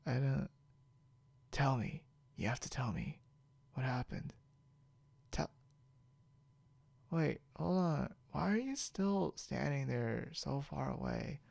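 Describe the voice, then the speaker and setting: A man playing a stubborn, boastful, confused drunk young man in an ASMR roleplay, halting and rambling with mumbled, repeated words. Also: mid-range voice, a little mellow, dry recording, exaggerated voice. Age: young adult. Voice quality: gravelly, creaky.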